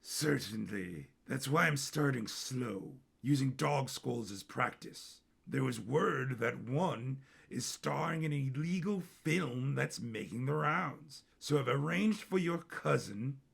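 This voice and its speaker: Man voicing a measured, menacing older king. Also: mid-range voice, animated, creaky, a bit bright, breathy edge, exaggerated voice. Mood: calm, sinister. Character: cold, menacing older king, doting father.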